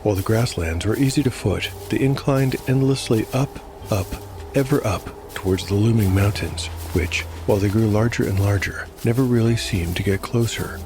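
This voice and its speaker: A man reading scripted dark fantasy narration in a measured storytelling manner. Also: lowish voice, noisy background. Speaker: narrator and host. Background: music.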